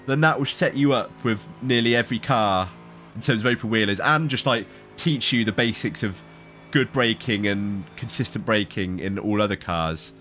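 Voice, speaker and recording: A man's voice: fairly low pitch, moderate pace, fairly bright tone, faint music behind. A man chatting casually while sim racing with teammates, a bit stuffy from hay fever, rambling and laughing easily.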